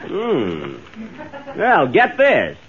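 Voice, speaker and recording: A man hosting a 1930s radio comedy with a dry, mock-indignant deadpan. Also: on the high side, extremely slow. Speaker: comedian host. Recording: lo-fi 1930s radio with loud studio audience.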